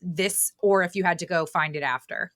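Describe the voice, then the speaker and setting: A woman in her thirties, a guest on a podcast, chatting casually in a loud, animated way and laughing a lot. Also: fairly low pitch, normal speed, dry recording, studio quality. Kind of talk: casual chat.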